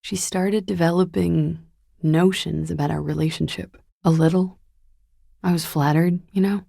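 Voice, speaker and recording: An actress playing a weary, guarded young woman on the run in a video game, speaking in a hesitant, subdued, confessional way with a breathy, gravelly voice. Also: fairly even intonation, moderate pace, dark tone, no room sound, studio quality, heavily acted. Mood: wary, confiding. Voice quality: low, breathy, husky and gravelly. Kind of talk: video game character dialogue, intimate and understated.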